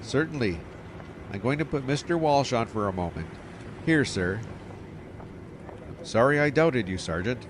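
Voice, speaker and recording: A man's voice: mid-range voice, lively intonation, unhurried, long pauses, natural voice. A man playing a sceptical, official older police captain in an audio drama, with clipped questions. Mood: businesslike. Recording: clearly noisy outdoor street ambience behind.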